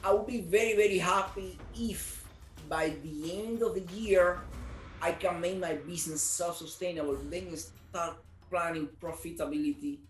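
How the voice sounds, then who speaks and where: Man, higher-pitched, earnest, echoey room. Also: steady tone, normal speed, soft background music. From Cuba. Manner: earnest, repeats words while searching for them. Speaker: martial arts studio owner. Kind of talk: casual interview answers.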